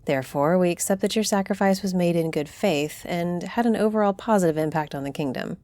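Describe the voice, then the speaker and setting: A woman portrays a gracious noblewoman councillor in a fantasy audio roleplay. Her voice is on the low side, soft-edged and mellow, recorded dry. She speaks with formal, deliberate dignity. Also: dry recording, lightly acted. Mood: solemn, gracious.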